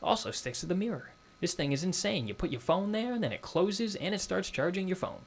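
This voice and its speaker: A man's voice: high-pitched, expressive, fairly quick, voice pushed up. A man imitating an overexcited male product reviewer who gushes with emphasis. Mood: gushing. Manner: gushing, emphatic.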